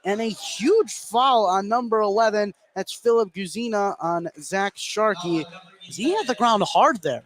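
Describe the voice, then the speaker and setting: Male play-by-play announcer, high voice, excitable, emphatic, live basketball call. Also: a little slow. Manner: excitable, emphatic on big plays. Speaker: play-by-play sports commentator.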